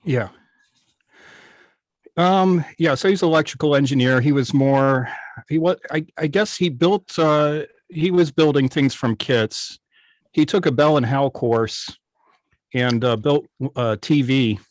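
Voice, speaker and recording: A man's voice: on the high side, measured pace, dry recording. A middle-aged American man telling personal anecdotes casually as a guest, conversational and lightly self-deprecating. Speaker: guest, old-time radio station operator. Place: indoors on a video call.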